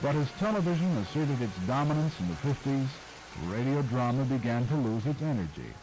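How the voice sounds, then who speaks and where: A man's voice: medium pitch, very animated, brisk, noisy background. A man reading a scripted narration for a documentary-style program. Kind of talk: scripted narration about radio history.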